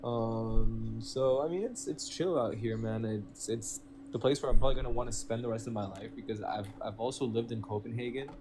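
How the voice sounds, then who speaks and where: A man's voice: medium pitch, a little fast, hardly any pauses. Young man on a noisy stream. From born in Venezuela, raised in Spain. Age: 20s. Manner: laid-back slangy speech, says "man" and "bro" often. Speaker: crypto live stream participant. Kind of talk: casual chat. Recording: clearly noisy live stream audio.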